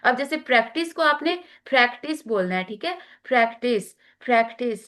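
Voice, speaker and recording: A woman with a Pakistani accent teaching an online class, talking slowly with many fillers and switching into English phrases. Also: on the high side, lively intonation, fairly bright tone. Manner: slow, halting, many fillers, mixing in English.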